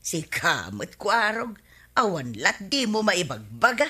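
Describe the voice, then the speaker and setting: A woman's voice: medium pitch, very animated, a little slow, bright, forceful tone, husky, heavily acted. A woman with an Ilocano accent playing a gossipy, overworked village wife who grumbles, in a radio comedy drama. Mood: grumbling. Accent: Ilocano Filipino.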